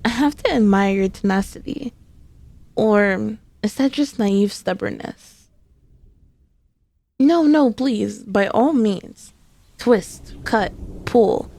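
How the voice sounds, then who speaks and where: A woman's voice: medium pitch, gravelly, warm tone, exaggerated voice. A woman playing a sassy, sneaky, possessive centuries-old female demon in an ASMR roleplay, speaking in a haughty, teasing, formally old-fashioned way. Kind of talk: ASMR roleplay, scripted character acting.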